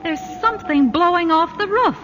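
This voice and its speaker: An actress playing a fretful, affectionate city wife, in 1940s radio acting. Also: female voice, extremely high pitch, expressive, moderate pace, very noisy. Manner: anxious, affectionate. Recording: dull old radio broadcast with music.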